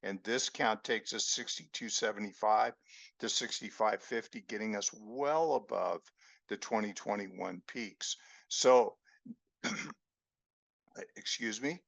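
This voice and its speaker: A man hosting a market chart lesson, explaining slowly with fillers and a voice he says is going hoarse. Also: fairly low pitch, a bit bright, no room sound. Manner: slow, measured explaining with fillers. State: losing his voice. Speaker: market analyst host. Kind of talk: screen-share chart lesson. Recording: dry, dull lo-fi voice-over.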